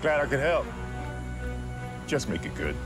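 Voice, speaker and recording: A man's voice: medium pitch, very animated, measured pace, soft background music. A man playing a matter-of-fact military officer in a film drama. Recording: film clip with very loud score.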